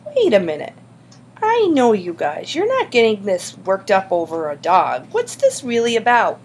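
A woman's voice: medium pitch, normal speed, gravelly, a little hiss or hum, natural voice. A woman voicing an outraged, principled schoolgirl in an audiobook, with an indignant and scathing delivery. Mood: suspicious. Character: outraged, principled schoolgirl animal lover, fiery and scolding. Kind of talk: audiobook character dialogue.

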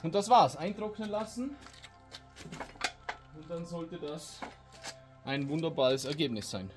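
Relaxed man in his 40s. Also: fairly high pitch, a little slow, soft background music. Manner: relaxed, hesitant with fillers, explaining as he works. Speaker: DIY video host. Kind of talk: casual workshop tutorial. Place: home garage workshop, indoors. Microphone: camera mic, close then farther as he works on the seat.

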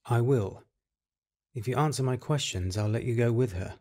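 Male lighthouse keeper, controlling interrogator, raspy mid-pitched voice, audio drama, played by a man. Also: normal speed, mellow, natural voice. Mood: coolly probing.

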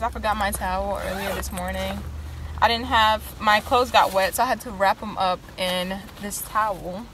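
Young woman vlogger, chatty and rambling, phone-cam sound in a noisy van. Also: medium pitch. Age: young adult. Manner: rambling, chatty, talks to viewers. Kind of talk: casual vlog. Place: inside a parked van on a rainy day. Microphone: handheld phone camera.

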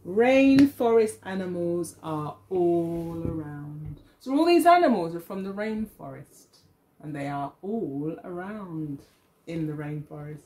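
Slow, very animated woman teacher reading aloud. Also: lowish voice, poor audio. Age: middle-aged. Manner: expressive, deliberate, questioning delivery for small children. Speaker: early years teacher. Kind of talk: picture-book read-aloud to young children. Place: living room at home, indoors.

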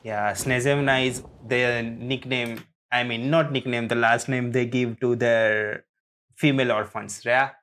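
A man casually reacting to game trailers, speaking in a hesitant, halting way. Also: mid-range voice, steady tone, fairly bright tone. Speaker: reaction video host.

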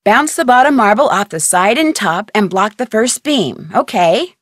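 A woman's voice: mid-range voice, animated, moderate pace, a bit bright, dry recording, clean studio sound. A young woman voicing a curious, polite amateur detective in recorded game dialogue, asking earnest questions. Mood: friendly. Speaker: game protagonist. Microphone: close studio mic.

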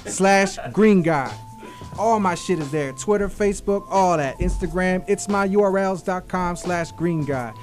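A high-pitched American man hosts casual hip-hop radio from a close studio mic. His talk is slangy and laid-back but keen to hype, with faint music behind him. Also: a little slow. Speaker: guest radio host. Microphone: close studio broadcast mic.

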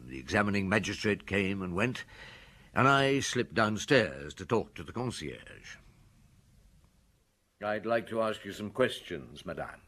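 A middle-aged police detective in a radio drama. His voice is fairly low and rough-edged, with a lively, varied pitch. He is dry, brusque and probing. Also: measured pace. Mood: probing, official. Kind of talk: radio drama acting and first-person narration.

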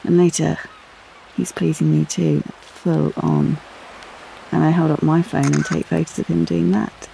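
Candid young British woman for audio play; lowish pitch, confiding delivery, background noise. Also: little pitch movement, a little slow, vocal fry, mellow, exaggerated voice, poor audio. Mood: intimate, nervous. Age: young adult. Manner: confiding, intimate storytelling. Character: candid young woman recalling a teenage romance. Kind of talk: audio play monologue with dialogue.

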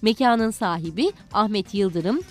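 A woman's voice: medium pitch, lively intonation, a little slow. A woman reading scripted narration for a TV feature report. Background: background music.

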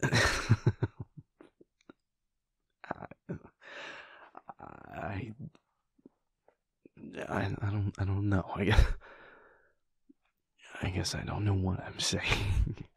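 A man plays a shy, endearingly grumpy werewolf boyfriend in an intimate audio roleplay. His voice is low and gravelly, slipping into a husky half-whisper. He speaks very slowly and haltingly, stumbling softly over his words. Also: no room sound, full character voice. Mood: shy, flustered. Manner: hesitant, stammering, murmuring.

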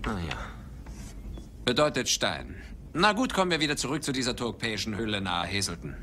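An actor playing a driven, wry archaeology professor and adventurer in a dubbed film, dry and casual with hesitations. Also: male voice, lowish voice, big pitch swings, a little fast, bright, forceful tone, a little hiss or hum. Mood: distracted, lecturing. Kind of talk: German film dubbing.

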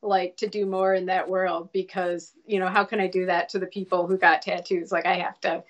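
An American woman in her forties, an author guest on a live video call, speaking thoughtfully with many restarts and pauses. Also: fairly low pitch, flat delivery. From United States. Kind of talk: casual live video chat. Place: home room with a shelf behind. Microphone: webcam on a video call.

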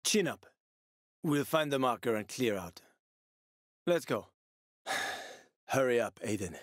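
A wry survivor, dry close recording. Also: male voice, mid-range voice, animated, takes it slowly, no echo. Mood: urgent. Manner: casual, sardonic, conversational. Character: wry, world-weary male survivor and smuggler. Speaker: video game voice actor. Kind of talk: video game character dialogue.

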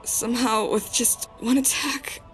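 A fierce, defiant female monster warrior, played by a woman in a cartoon drama. She speaks with heroic intensity, fairly high and deliberate, over soft music. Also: fairly even intonation, poor audio. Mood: pained, determined. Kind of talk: dramatic comic dub acting.

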